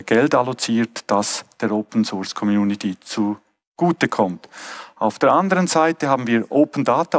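A middle-aged man with a Swiss German accent gives a conference keynote from a stage lectern, earnest and often pausing to rephrase. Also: medium pitch. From Switzerland. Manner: earnest, with frequent hesitations and restarts. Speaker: keynote speaker.

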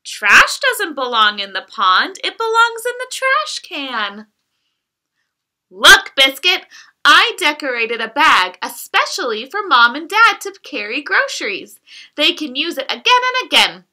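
A woman reading the lines of a cheerful, caring little girl in a gentle, sing-song storybook way. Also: high-pitched, very animated, unhurried, exaggerated voice.

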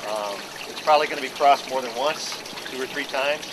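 Middle-aged boat captain giving direct instructions. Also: male voice, mid-range voice, a little fast. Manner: practical, direct, plain-spoken instructions. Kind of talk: instructional briefing to a charter group. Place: outdoors on the deck of a docked fishing boat. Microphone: camera mic from a few feet away. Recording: outdoor camera audio with clear background noise.

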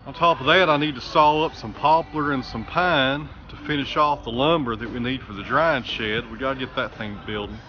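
A relaxed man in his thirties talks casually about his day and his woodworking. His voice sits at an ordinary middle pitch, easygoing and plainspoken. He talks to a handheld camera outdoors in the woods and later in a small workshop. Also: moderate pace, hardly any pauses, highs cut off. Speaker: woodworker and channel host.

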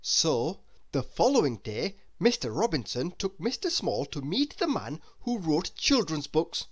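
Animated children's storybook narrator. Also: mid-range voice, slightly rough. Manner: expressive, measured storytelling. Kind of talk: children's read-aloud narration.

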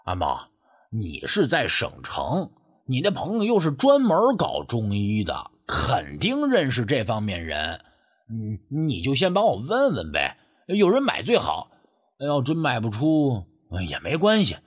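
A man's voice: mid-range voice, wildly swooping pitch, a little slow, husky, full character voice. A man playing a sly, sheepish rural man in an audiobook, stammering and wheedling as he angles for money. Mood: wheedling, insistent. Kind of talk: acted audiobook dialogue.

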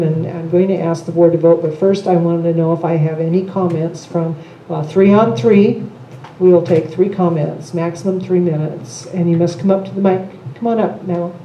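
An older woman leads a board meeting in a large conference room. Her voice is low and very dark, measured and procedural, with pauses and ums. The room rings with echo. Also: steady tone, lo-fi sound, a little hiss or hum. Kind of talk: meeting discussion. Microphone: table microphone. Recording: echoey room, camera mic.

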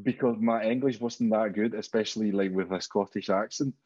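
A man's voice: fairly low pitch, steady tone, brisk, a little mellow, muffled recording. A man in his thirties from Spain with a Spanish accent, a guest speaking candidly on a video call and swearing freely. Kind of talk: casual interview chat. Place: home room, indoors.

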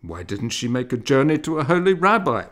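A man's voice: medium pitch, very animated, a little fast, a bit bright, pitched above the performer's own voice. A man voicing superstitious, alarmed village women exclaiming in a storybook reading. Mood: anxious questioning. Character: frightened, superstitious village women gossiping at night. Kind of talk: acted storybook dialogue.

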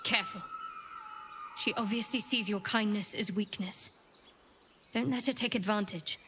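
A woman's voice: mid-range voice, normal speed, bright, forceful tone, soft background music, natural voice. A woman playing a guarded, suspicious adventurer in a fantasy game, speaking in a wary, cutting manner. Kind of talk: cinematic fantasy game acting.

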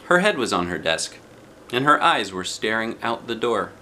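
American man, middle-aged, lively swooping storybook narration, bright voice, noisy home recording. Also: on the low side, moderate pace. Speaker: children's book reader. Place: living room, indoors. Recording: noticeable background noise.

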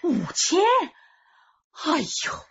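A woman's voice: extremely slow, a bit bright, highs cut off. An actress playing a kindly, hospitable middle-aged female relative who fusses, in a radio drama. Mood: flustered. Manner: fussing, hospitable.

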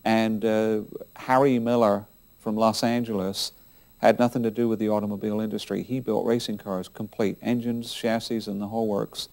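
Gravelly, low-voiced man in interview. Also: level tone, moderate pace, darkish tone. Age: middle-aged. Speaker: interviewee commenting on racing history. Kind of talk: unscripted interview recollection. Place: indoor interview set.

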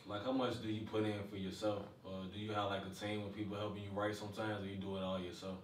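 A man's voice: lowish voice, little pitch movement, fast talker, a little hiss or hum. A man asking laid-back, brief interview questions from a distance. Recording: roomy, from off-mic.

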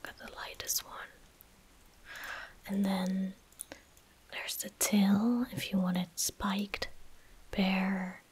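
A woman in her twenties giving soft-spoken ASMR game commentary in a breathy, gentle whisper, unhurried and close to the microphone. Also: medium pitch, flat delivery. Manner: slow, unhurried, gentle murmuring. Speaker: ASMR streamer. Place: small indoor room at a desk. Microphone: close desk microphone.

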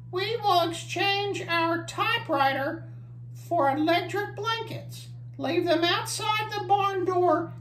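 A woman's voice: very high voice, a bit bright, reverberant, a little hiss or hum, pitched above the performer's own voice, full character voice, rough recording. A woman voicing an eager, curious young male monster puppet who chimes in with childlike guesses on a children's story show. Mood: reading aloud. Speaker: puppet sidekick at story time.

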